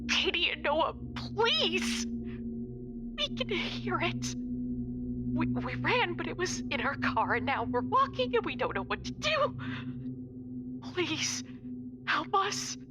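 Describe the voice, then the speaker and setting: Very high-pitched terrified pleading woman. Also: big pitch swings, exaggerated voice. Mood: panicked. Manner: pleading, halting, tearful. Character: terrified, desperate woman survivor begging for help. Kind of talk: audio drama acting. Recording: music behind the voice. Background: music.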